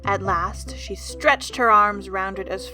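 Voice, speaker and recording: A woman reading scripted storybook narration for an audio drama, as an expressive storyteller. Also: medium pitch, slow. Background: music.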